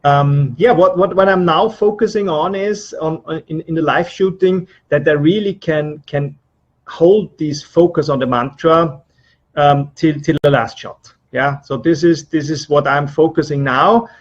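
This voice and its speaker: Slow, hesitant Austrian man, dull sound. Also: on the high side. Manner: hesitant, repeats words while searching. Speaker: trainee being interviewed, business coach. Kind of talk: interview answers.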